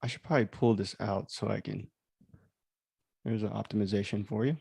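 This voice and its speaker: A man's voice: fairly low pitch, steady tone, a little fast, gravelly, warm tone, breathy edge, no room sound, clean studio sound. A man hosting a livestream in casual, rambling chat, commenting on his own audio drama and easily amused.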